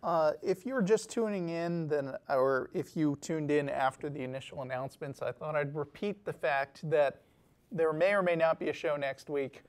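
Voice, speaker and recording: Smooth-voiced male talk show host. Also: a little high, moderate pace. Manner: plain, conversational announcements. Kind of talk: call-in show hosting.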